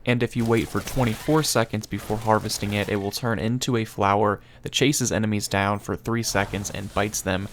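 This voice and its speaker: A man's voice: lowish voice, fairly even intonation, normal speed, hardly any pauses, slight background noise. A man giving matter-of-fact, instructional narration for a gaming video, reading from a script.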